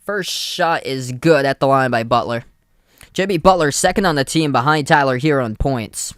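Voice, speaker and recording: A man's voice: medium pitch, lively intonation, moderate pace, fairly bright tone, no room sound, clean studio sound. A young man with a Boston accent doing live play-by-play commentary for a streamed basketball game, calling the action in short clipped phrases.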